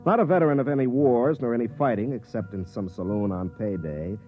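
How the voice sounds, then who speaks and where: An actor playing a cynical, hard-drinking veteran army captain in a 1950s radio drama, sardonic and mocking. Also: male voice, mid-range voice, brisk, lightly acted. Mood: dry, world-weary. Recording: muffled vintage 1950s radio, faint music.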